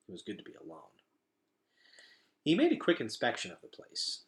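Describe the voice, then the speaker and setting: Animated, bright-voiced man reading a story. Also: on the low side. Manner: animated, dramatic emphasis. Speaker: teacher reading a children's novel aloud. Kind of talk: expressive storybook read-aloud.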